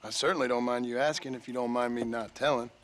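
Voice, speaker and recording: Man acting a weathered american cowboy gunslinger, dry, polite, says little, mid pitch, western game scene w/ faint outdoor noise. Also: steady tone, fast, natural voice. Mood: guarded. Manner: laconic, formally polite, dry. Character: reserved, courteous, weathered Old West gunslinger. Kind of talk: video game cutscene acting.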